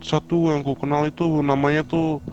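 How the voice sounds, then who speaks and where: A man's voice: a little high, little pitch movement, moderate pace, a little mellow, slight background noise. Hesitant man in muffled voice chat. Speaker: player in a gangster roleplay. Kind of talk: casual in-character roleplay talk. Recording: muffled lo-fi voice chat, music behind. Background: music.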